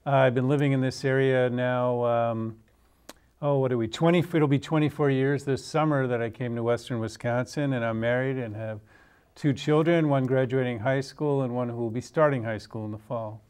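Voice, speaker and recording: A middle-aged man from New York City introducing himself on a panel in a measured, plain-spoken way. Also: mid-range voice, steady tone, a little mellow, smooth. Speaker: lawyer.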